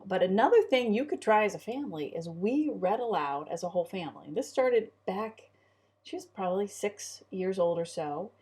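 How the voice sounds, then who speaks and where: A woman's voice: medium pitch, lively intonation, moderate pace, warm tone. A middle-aged woman speaking conversationally as a parent and co-host.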